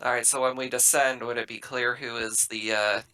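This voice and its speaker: Bright, forceful male tabletop player. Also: mid-range voice, flat delivery, moderate pace, clean tone. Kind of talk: casual game-table talk.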